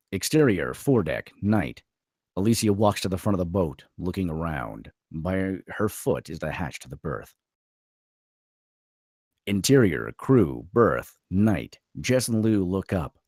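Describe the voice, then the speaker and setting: Very deep, animated male narrator. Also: unhurried, slightly rough, dry recording, studio quality. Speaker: narrator of stage directions. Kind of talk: scripted narration at a screenplay table read.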